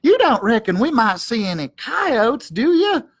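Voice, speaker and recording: High-pitched timid little dog. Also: male voice, very animated, unhurried, slightly rough, heavily acted. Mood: nervous. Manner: plaintive, hesitant. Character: small timid male dog, whiny, naive sidekick. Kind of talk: children's storybook character voice.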